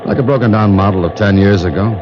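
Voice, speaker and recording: A man's voice: fairly low pitch, fast, background noise, lightly acted. An actor playing a wary, tough undercover man in a radio crime drama, speaking in terse, hard-boiled lines. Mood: curious. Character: wary, tough man working undercover in a car-theft racket. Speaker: lead actor and narrator. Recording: muffled old radio recording with music.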